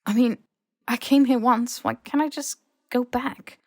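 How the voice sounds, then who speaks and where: A woman's voice: fairly high pitch, fairly even intonation, a little mellow, no echo, studio quality, voice pushed up. A woman voicing a weary, exasperated male officer who talks in a casual, blunt, insistent way in an audiobook. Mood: exasperated pleading. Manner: informal, halting, insistent.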